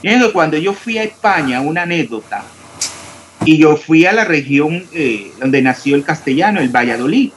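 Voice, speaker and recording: A man from Panama, teaching a chemistry class online and questioning students. He talks about clear diction and sounds out every s. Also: fairly high pitch, animated, normal speed, fairly bright tone, slight background noise, low-quality recording.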